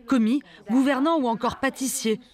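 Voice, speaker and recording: A woman reading a documentary voice-over in a measured, even way. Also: high voice. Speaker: documentary narrator. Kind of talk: scripted voice-over narration. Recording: studio voice-over with music underneath.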